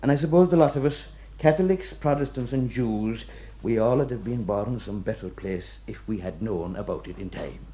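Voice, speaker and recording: An actor playing an aging, devout Irish prison warder in a radio play, deferential and ruminative, with an Irish accent. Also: male voice, fairly low pitch, normal speed, few pauses, warm tone, lightly acted. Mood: gently wry. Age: middle-aged. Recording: dull, muffled radio recording.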